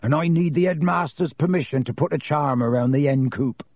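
A man's voice: on the high side, fairly quick, a little mellow, dry recording. A man voicing a huge, kindly storybook groundskeeper with a hearty, blunt, friendly manner. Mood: matter-of-fact.

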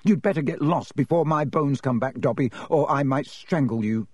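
A man's voice: medium pitch, moderate pace, breathy edge, no echo, studio quality, close to an everyday voice. A man voicing a young schoolboy in a storybook, suspicious, indignant and questioning. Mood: fierce.

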